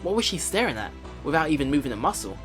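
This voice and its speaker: A man plays through a story game for his viewers, reading it aloud with drama and chatting casually between passages. His voice is on the higher side and swings widely in pitch, with faint music behind it. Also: big pitch swings, fast, fairly bright tone. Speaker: let's play video host.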